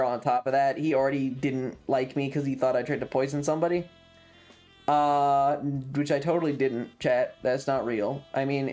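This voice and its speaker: Androgynous mid-pitched voice, casual stream storytelling, sweary, sardonic, acted-out dialogue, game music in the background. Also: a little mellow. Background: video game music.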